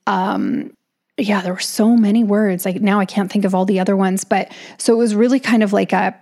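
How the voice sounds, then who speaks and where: A woman's voice: mid-range voice, lively intonation, moderate pace, gravelly, darkish tone. A woman hosting a podcast solo, talking casually and conversationally as if thinking aloud. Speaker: podcast host and author.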